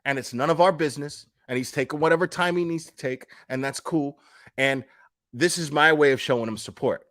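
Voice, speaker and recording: A man's voice: fairly high pitch, fairly quick, fairly bright tone. A man giving casual, opinionated commentary as a streamer, speaking in a blunt, emphatic, foul-mouthed manner.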